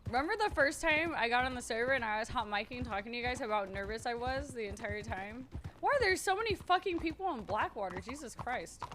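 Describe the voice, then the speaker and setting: A woman streamer chatting loudly and nervously to her viewers, thinking out loud and swearing freely. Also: fairly high pitch, expressive, a little fast, background noise. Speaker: streamer playing a roleplay character. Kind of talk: casual chat to stream viewers.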